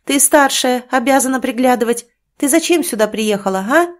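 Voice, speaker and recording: A woman voicing a frantic village mother who scolds loudly. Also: fairly even intonation, normal speed, lightly acted. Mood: angry. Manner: shrill scolding, wailing.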